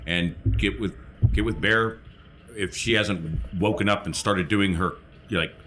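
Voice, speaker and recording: A man's voice: sits low, unhurried, background noise. A man chatting casually as a player in a tabletop role-playing game.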